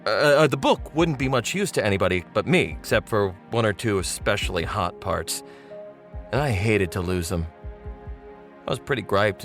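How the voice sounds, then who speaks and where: A man acting a low-voiced, gravelly dead ex-convict narrating a noir radio play. He is wry and slangy, buttonholing the listener like an old pal. Music plays underneath. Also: lightly acted. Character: sardonic dead ex-convict telling his own murder story. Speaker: actor playing the narrator of a radio mystery.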